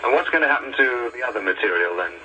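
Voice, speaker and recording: A man's voice: medium pitch, animated, quick pace, dull audio. A man interviewing someone on the radio with conversational questions. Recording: noisy archive reel-to-reel radio tape.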